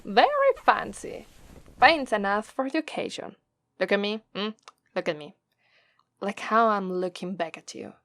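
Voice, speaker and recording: Woman acting a slow, haughty Italian-accented demoness. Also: medium pitch, big pitch swings, fairly bright tone. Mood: commanding, haughty. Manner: condescending, drawn-out, taunting delivery. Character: haughty, teasing, menacing female demon master. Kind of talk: ASMR roleplay acting.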